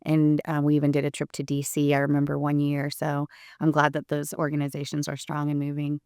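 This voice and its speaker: A woman in her forties from Puerto Rico, an attorney talking as a guest in an earnest, casual interview, with many pauses and restarts. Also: deep voice, monotone, a little mellow, smooth, dry recording, studio quality.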